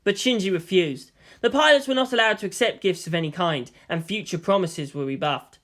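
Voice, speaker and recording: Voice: medium pitch, a little fast, a bit bright. A narrator giving a dramatic scripted reading of a story.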